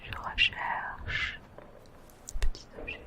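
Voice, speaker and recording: A woman softly whispering ASMR-style commentary over a video game, unhurried. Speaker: ASMR gaming YouTuber.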